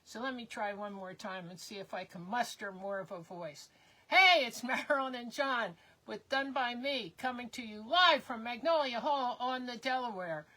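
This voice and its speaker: An older woman co-hosting a live crafting stream, chatting in a rambling, casual way with many pauses as her voice wears thin and hoarse. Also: on the high side, sharp tone, rough recording. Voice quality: slightly hoarse. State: losing her voice.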